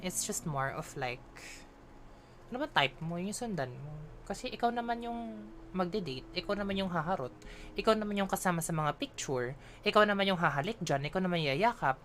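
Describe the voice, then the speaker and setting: A woman's voice: lowish voice, fairly bright tone, slight background noise. A woman with a Filipino accent chatting and ranting casually while livestreaming a game, mixing English and Tagalog. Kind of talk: casual gaming stream chat.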